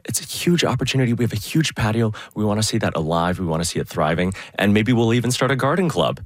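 A man with a Canadian accent and a low-pitched voice, recorded dry and close. He speaks as an artistic director answering interview questions with enthusiasm. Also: animated, fast, no room sound.

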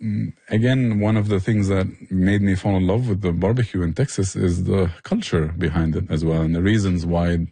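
A man's voice: deep voice, steady tone, normal speed, hardly any pauses, dark tone, breathy edge, no echo. A man explaining things with passion in a conversational interview. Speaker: barbecue restaurant owner and chef, interview guest.

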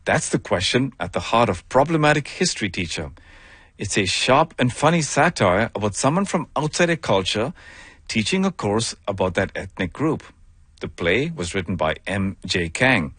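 A man hosting a radio arts segment, reading introductions in a lively presenter manner. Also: on the low side, moderate pace, breathy edge, dry recording.

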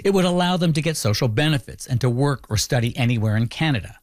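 A man narrating a documentary from a script in a measured, explanatory way. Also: medium pitch, animated. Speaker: narrator, host.

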